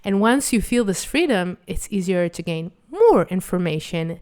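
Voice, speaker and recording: Woman, Israeli accent, Hebrew native, teacher, expressive, crisp voice. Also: on the low side, moderate pace. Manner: lively, expressive explaining with playful acted examples. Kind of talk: online language lesson.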